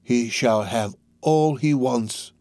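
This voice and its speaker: A man voicing a wily, quick-tempered old gang master in a storybook reading, with a drawn-out, coaxing delivery. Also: medium pitch, a little mellow, no echo, studio quality. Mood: soothing, coaxing. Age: older.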